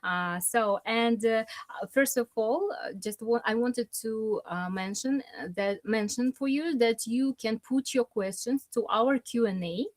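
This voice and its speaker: A woman's voice: a little high, measured pace. Hesitant woman hosting an online event. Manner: hesitant, with many "uh" fillers and polite thanks. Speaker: open day host. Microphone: video call.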